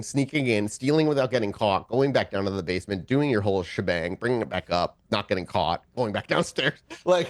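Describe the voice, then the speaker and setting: A man acting as game master, mixing improvised narration with casual, lightly profane table chat. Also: mid-range voice.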